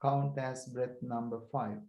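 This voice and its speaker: A man leading a guided breathing meditation as a teacher, speaking calmly and deliberately in short phrases with pauses. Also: medium pitch, gravelly. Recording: roomy echo.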